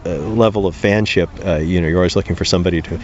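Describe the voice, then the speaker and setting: A man's voice: deep voice, very fast. A man answering casually as an interview guest outdoors, modest and hesitant, feeling his way through his sentences. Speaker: interview guest, blogger and panelist. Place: outdoors in a park. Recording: dull sound with faint outdoor noise.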